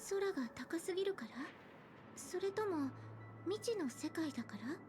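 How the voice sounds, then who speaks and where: High, gracious young woman. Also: expressive, fast talker, music in the background. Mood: gently reassuring. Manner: soft, courteous, feminine phrasing. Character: gentle, polite young woman, gracious and wistful. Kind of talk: Japanese anime-game voice acting.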